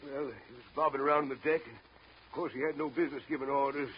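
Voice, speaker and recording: An actor playing a plain-spoken old riverboat pilot with a rustic drawl in a 1930s radio drama. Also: male voice, mid-range voice, fairly even intonation, fast talker, close to an everyday voice. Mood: subdued, reluctant. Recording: dull, lightly noisy 1930s radio recording.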